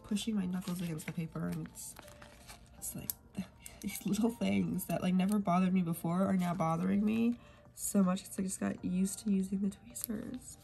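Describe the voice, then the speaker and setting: A woman's voice: medium pitch, creaky. A young woman chatting casually while filling in her planner, sniffly from a cold and rambling, sometimes dropping to a whisper. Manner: rambling, soft, drifts into whispers while working. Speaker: planner vlogger. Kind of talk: casual plan-with-me chat.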